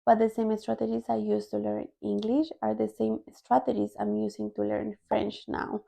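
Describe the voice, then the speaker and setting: A woman's voice: mid-range voice, monotone, moderate pace, gravelly, warm tone. A young adult woman from Colombia, a native Spanish speaker with a Colombian accent in English, telling her own story casually to viewers as a vlogger. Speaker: language-learning vlogger.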